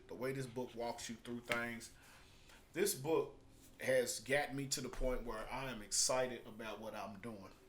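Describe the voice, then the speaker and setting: A man's voice: medium pitch, a bit bright, a little hiss or hum. A man talking in a casual vlog review style, conversational and emphatic, repeating phrases to drive points home. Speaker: product reviewer and online marketer.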